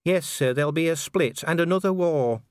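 A man's voice: fairly high pitch, normal speed, clean tone, no room sound, studio quality, pitched above the performer's own voice, natural voice. A man voicing an anxious young female dwarf in an audiobook, polite, earnest and deferential as she explains. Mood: earnest, explaining. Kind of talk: audiobook character dialogue.

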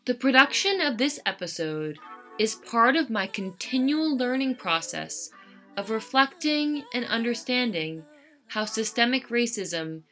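A woman hosts a podcast, reading her script in an earnest, measured way. Her voice is bright and sits a little high. Faint music plays underneath. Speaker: podcast host. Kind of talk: scripted narration.

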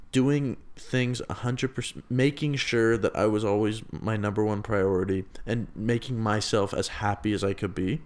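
Earnest young man in casual chat. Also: mid-range voice. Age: 20s. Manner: earnest, rising loud when making a point. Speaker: podcast co-host.

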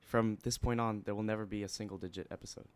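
A man's voice: lowish voice, steady tone, fast talker, clear, resonant tone. A young man hosting a casual home podcast, joking around and laughing often with his friends. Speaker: podcast host. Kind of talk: casual chat.

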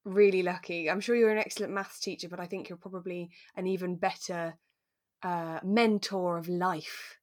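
A British woman co-hosts a relaxed podcast. Her voice sits a little low for a woman and is recorded dry, with very little room sound. She talks in an informal, conversational way. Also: normal speed, no echo. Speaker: podcast co-host. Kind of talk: relaxed casual chat about a radio drama.

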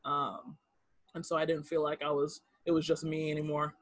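A man in his thirties telling personal stories in an interview, halting with fillers and restarts and laughing easily. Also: on the high side, completely flat delivery, dry recording. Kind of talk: casual storytelling interview.

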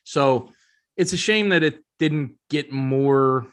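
A man's voice: medium pitch, takes it slowly, a bit bright. A man co-hosting a podcast, talking casually and offering his opinion. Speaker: podcast co-host.

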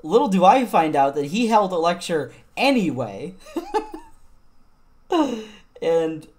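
A young man co-hosting a film podcast, telling stories in a relaxed way, laughing often and restarting sentences. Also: fairly high pitch, takes it slowly. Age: young adult. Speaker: podcast co-host. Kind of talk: casual chat.

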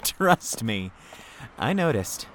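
A man's voice: mid-range voice, big pitch swings, slow pace, noisy background. An actor playing a carefree, caring young man in an audio drama, with a hesitant, earnest way of talking. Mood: tender, nervous. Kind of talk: naturalistic audio drama dialogue.

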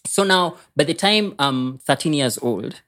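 A man's voice: on the high side, lively intonation, a little slow, bright, forceful tone. A man with a Kenyan accent, an interview guest telling personal stories casually, mixing English and Swahili and repeating words for emphasis. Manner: code-switches between English and Swahili.

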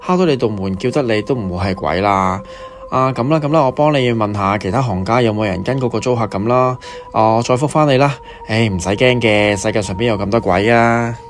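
A man's voice: fairly low pitch, brisk, lightly acted. A man playing a breezy, dismissive estate agent on the phone in a radio drama, talking colloquially. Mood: breezy reassuring. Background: loud background music.

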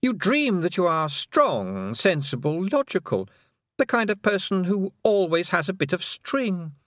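A man's voice: high voice, big pitch swings, a little slow, fairly bright tone, no echo, studio quality, pitched above the performer's own voice, full character voice. A man reading an audiobook, voicing a cruel female fairy queen who talks down to a girl in a sweet, mocking, condescending way. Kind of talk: audiobook character voice.

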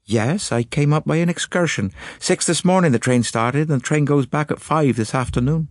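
A man's voice: on the high side, moderate pace, no echo, voice pushed up, natural voice. A man reading an audiobook, voicing an anxious, indignant middle-aged woman who talks in a prim, complaining way. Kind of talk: audiobook character voice.

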